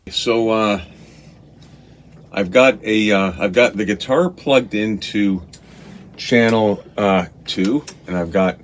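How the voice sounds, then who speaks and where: Casual gear reviewer, male, lower-pitched, hesitant and unscripted, with some noise behind him. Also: takes it slowly. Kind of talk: casual unboxing and gear demo. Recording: clearly noisy room recording.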